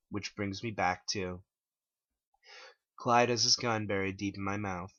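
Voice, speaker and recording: A man's voice: lowish voice, sharp tone, no room sound, muffled recording. A man giving a dramatic scripted narration as the author of a first-person story. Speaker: author reading own story.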